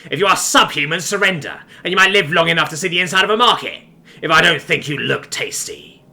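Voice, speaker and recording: Brutish orc space captain for an audio story, acted by a male narrator: menacing, booming, slow, wide swooping delivery. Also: animated, creaky, pushed and bright, exaggerated voice. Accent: mock Cockney.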